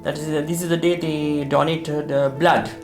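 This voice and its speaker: A middle-aged man from Sri Lanka, recalling his war memories in a hesitant documentary interview with many pauses and restarts. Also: fairly high pitch, level tone. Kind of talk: documentary interview, personal recollection. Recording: echoey room with faint music.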